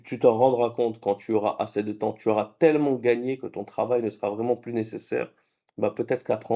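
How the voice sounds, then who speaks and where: A man whose first languages are French and Arabic, hosting a late-night trading vlog in a lively, conversational way. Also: medium pitch, creaky, lo-fi sound. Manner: animated, conversational, with restarts. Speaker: trading channel host.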